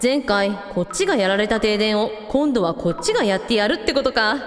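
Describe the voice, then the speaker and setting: A woman playing a practical, gently inquisitive mother, casual and thinking aloud, in a radio drama. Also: mid-range voice, expressive, brisk, close to an everyday voice. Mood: thoughtful. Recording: echoey hall reverb, faint music behind.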